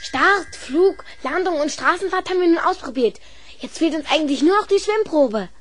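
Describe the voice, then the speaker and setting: A woman's voice: very high-pitched, animated, moderate pace. A woman playing an eager, earnest young boy inventor in a children's radio play. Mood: pleased, eager. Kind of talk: 1970s German children's radio play acting.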